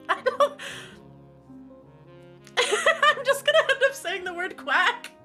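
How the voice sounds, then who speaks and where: A woman's voice: very high-pitched, expressive, slow pace, pushed and bright, soft background music. A woman guesting on a casual stream chat, giggly, easygoing and self-deprecating.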